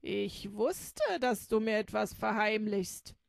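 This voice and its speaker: A woman's voice: mid-range voice, fairly even intonation, slow pace, vocal fry, no room sound, studio quality. A woman voicing a suspicious male robber chief in a read-aloud children's story.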